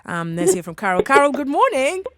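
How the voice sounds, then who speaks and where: A woman's voice: high voice, big pitch swings, fairly quick, a bit bright, no room sound. A young woman with a Kenyan accent hosting a morning radio talk show, chatting and mixing English with Swahili slang. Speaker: radio host.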